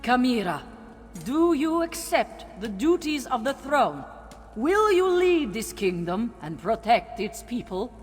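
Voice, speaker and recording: An actress voicing a solemn catfolk priestess in a video game, proclaiming in a ceremonial manner. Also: female voice, on the high side, big pitch swings, slow pace, soft background music. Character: solemn catfolk priestess presiding over a coronation. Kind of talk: scripted video game voice acting. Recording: long hall reverb.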